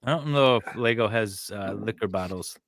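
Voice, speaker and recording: A man's voice: lowish voice, normal speed, creaky, no room sound, clean studio sound. A man co-hosting a livestream, talking casually and sometimes reading aloud. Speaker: livestream co-host.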